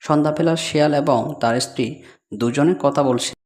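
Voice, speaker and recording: A man's voice: on the high side, normal speed, a little mellow, a little hiss or hum. A man narrating a scripted children's story, with music behind.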